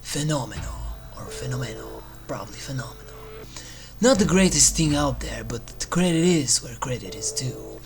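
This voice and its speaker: A narrator reading a scripted list review with drawn-out, theatrical phrasing and dry humour, as a video host. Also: medium pitch, animated. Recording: music under the voice. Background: eerie game soundtrack music.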